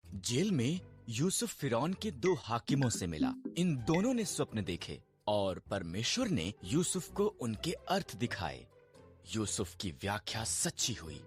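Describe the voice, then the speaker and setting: A man narrating a scripted children's Bible story in a deliberate, dramatic storytelling manner. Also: on the low side.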